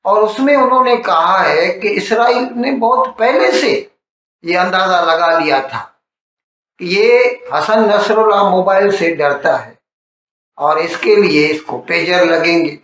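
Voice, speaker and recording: A man with a high-pitched voice explains current affairs in a conversational monologue. He speaks slowly, restating phrases for emphasis like a storyteller. The recording rings with heavy room echo. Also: a bit bright. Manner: storytelling, repeats and rephrases for emphasis. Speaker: news commentator. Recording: strongly echoing, reverberant room.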